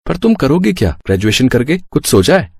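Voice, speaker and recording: A man playing a supportive, reasonable young husband who talks calmly and stands up firmly for his wife. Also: mid-range voice, a little fast, creaky, husky, exaggerated voice. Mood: curious.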